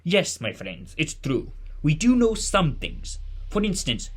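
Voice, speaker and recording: A man playing a kindly, learned, wordy gentleman who explains things formally in an audio drama. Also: on the high side, huge pitch swings, slow pace, slightly rough, heavily acted. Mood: earnest, explanatory. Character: kindly, learned, verbose gentleman leading a group of children. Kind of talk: audio drama dialogue and narration.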